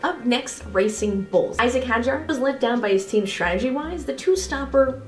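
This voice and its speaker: A woman's voice: sits high, lively intonation, moderate pace. A woman giving a casual, opinionated race review in an informal way. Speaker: motorsport analysis video host. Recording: echoey room, faint music behind.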